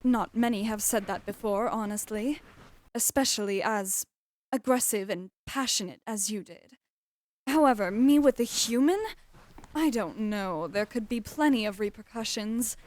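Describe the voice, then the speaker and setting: A woman's voice: medium pitch, a little slow, dry recording, natural voice. A woman voicing an imperious eldritch mother goddess with formal, haughty diction in a comedy skit. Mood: awkward, composed. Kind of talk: scripted comedic game-parody skit.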